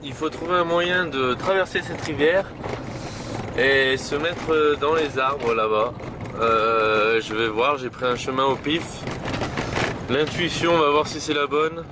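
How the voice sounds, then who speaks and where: A man's voice: a little high, a little slow. Rambling man vlogging outdoors in wind. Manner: rambling, many hesitations and restarts. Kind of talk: casual vlog talk while hiking. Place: outdoors on mountain trails in wind. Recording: loud wind noise on the mic.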